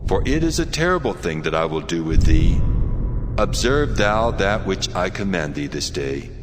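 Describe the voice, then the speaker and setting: A deep, reverberant Almighty God. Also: male voice, very animated, moderate pace, noisy background. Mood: proclaiming. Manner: solemn, declamatory. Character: the Lord God, solemn commanding male deity. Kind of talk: dramatized scripture reading. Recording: long cavernous reverb, music bed. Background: music.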